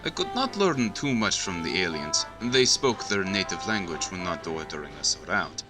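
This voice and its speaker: A sardonic Soviet spy in an audio drama, with a thick Russian accent. His low voice rises and falls freely through clipped, broken English, with music underneath. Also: big pitch swings, normal speed. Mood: blunt, sardonic. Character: blunt male Soviet prisoner, alleged spy, sardonic storyteller.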